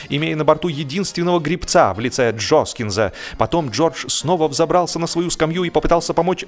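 Expressive male storyteller over music. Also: on the high side. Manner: expressive, theatrical, with deliberate drawn-out pauses. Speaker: audiobook narrator. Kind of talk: dramatised humorous literary narration.

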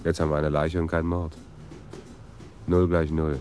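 An actor playing a dry, wry police detective in a radio crime drama. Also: male voice, rumbling low, little pitch movement, moderate pace, music in the background.

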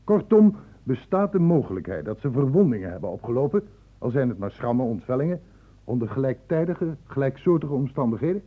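An actor playing a curt, pedantic senior doctor in a radio drama. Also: male voice, medium pitch, very animated, husky. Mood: impatient, curt. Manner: pedantic, deliberate when dictating, curt in questioning. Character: brisk, impatient senior male doctor dictating a report. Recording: dull, noisy old radio play tape.

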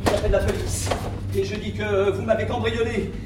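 Very high-pitched, excitable miser played by a man. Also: moderate pace, roomy sound, noisy background, natural voice. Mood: aggrieved. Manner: whiny, melodramatic. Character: excitable, greedy, self-pitying rich man at cards. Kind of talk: French theatrical drama acting.

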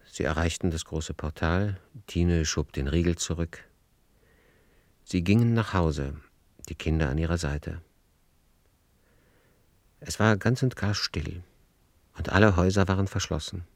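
Deep-voiced male narrator, dry and measured. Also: a little mellow, no echo. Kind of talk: literary radio play narration.

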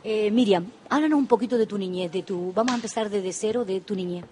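A woman hosting a local radio interview, warm and chatty in her questions. The voice sits at an ordinary pitch for a woman. A faint hiss runs under the studio sound. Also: quick pace. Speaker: radio show host.

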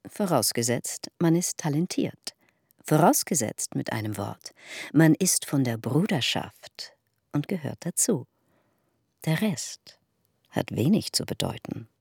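An actress playing a gushing, self-important society hostess in a German radio drama, theatrical and emphatic. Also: female voice, low-pitched, animated, measured pace, gravelly, a little mellow, breathy edge, no room sound, clean studio sound, full character voice. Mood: gracious, pompous. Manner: theatrical, emphatic, dropping to confiding whispers.

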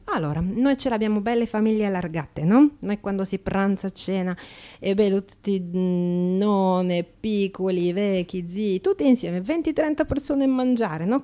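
Woman acting as Slovak immigrant prostitute, brash, cheerful, chatty, Slovak accent, broken grammar, mellow timbre, theatrical monologue. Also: mid-range voice, close to an everyday voice. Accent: Slovak-accented Italian. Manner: chatty, broken grammar, frequent tag questions.

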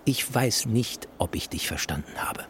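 A man's voice: fairly low pitch, expressive, moderate pace, fairly bright tone, slight background noise. An actor playing an embattled, stubborn construction boss in a radio play, emphatic and ironic in his rhetoric. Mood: defiant. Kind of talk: stylised radio play dialogue.

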